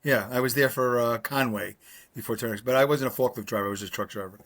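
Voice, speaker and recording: A man's voice: mid-range voice, quick pace, fairly bright tone. Rambling sixty-something New man. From Brooklyn, New York. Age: 60s. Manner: rambling, self-deprecating, many fillers. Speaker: podcast co-host. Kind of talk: casual chat. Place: his home. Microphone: video call.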